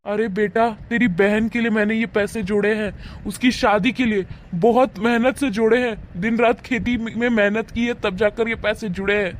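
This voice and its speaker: A man's voice: high voice, steady tone, normal speed, few pauses, slight background noise, close to an everyday voice. A man playing a poor old farmer father. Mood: firm, worried. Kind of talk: animated moral story dialogue.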